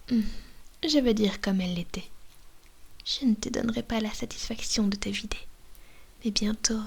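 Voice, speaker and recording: A woman's voice: medium pitch, gravelly, slightly rough, full character voice. A woman voicing a possessive, domineering female vampire mistress in an ASMR roleplay, mostly whispering, coaxing and commanding into a binaural microphone. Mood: menacing.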